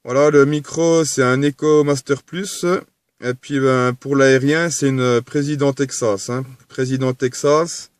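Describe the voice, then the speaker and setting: A man making casual conversation as a radio operator, halting and repetitive, often ending phrases with little tags. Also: medium pitch, fairly even intonation, clean tone, no room sound. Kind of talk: casual radio chat with signal reports.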